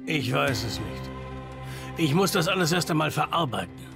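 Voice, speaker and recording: An actor voicing a gruff, foul-mouthed, betrayed but loyal enforcer in a video game, with a gravelly, blunt delivery. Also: male voice, a little high, sing-song, moderate pace. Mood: bitter, resigned. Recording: background music. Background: game music.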